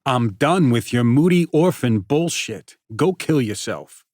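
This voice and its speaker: A man's voice: on the high side, huge pitch swings, measured pace, fairly bright tone, husky, dry recording, studio quality, pitched above the performer's own voice, full character voice. A man voicing a cruel, taunting teenage boy who talks in a cold, hard, sneering way. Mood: jeering.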